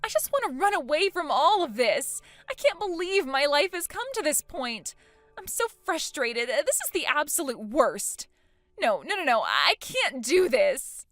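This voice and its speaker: Woman acting out a high-pitched, dramatic spoiled young woman. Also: big pitch swings, measured pace, fairly bright tone, dry recording, exaggerated voice. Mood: panicked. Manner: dramatic, whiny, indignant. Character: spoiled, vain, panicky 29-year-old woman. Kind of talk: acted story dialogue.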